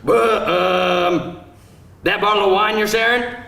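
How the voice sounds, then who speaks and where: A man's voice: high voice, steady tone, creaky, pushed and bright, pitched above the performer's own voice, exaggerated voice. Man playing an absurd folksy boyfriend, roomy and distant. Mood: suspicious, indignant. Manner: halting, rambling, ungrammatical. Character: absurd, showy, jilted boyfriend with folksy grammar. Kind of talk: live screenplay table read. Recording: roomy live event, distant mic, faint noise.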